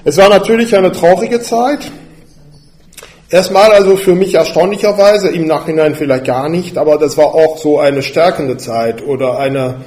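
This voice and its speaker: A man from Belgium giving a personal sermon, speaking in a hesitant, halting way with many fillers. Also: on the high side, darkish tone, roomy sound. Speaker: preacher.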